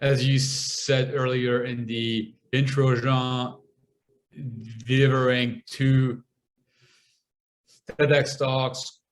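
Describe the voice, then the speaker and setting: A young man from Lebanon who stutters, speaking as a guest in a podcast interview, with long pauses and repeated sounds. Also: mid-range voice, little pitch movement.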